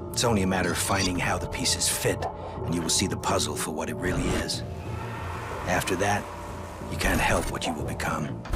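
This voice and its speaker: A very deep, grave voice of a hard-bitten secret agent from a video game, acted out by a man. He speaks in a measured, brooding tone over heavy game music. The narration sounds weighty and unhurried. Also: close to an everyday voice. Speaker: protagonist and narrator. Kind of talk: video game cutscene voice acting. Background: game score music.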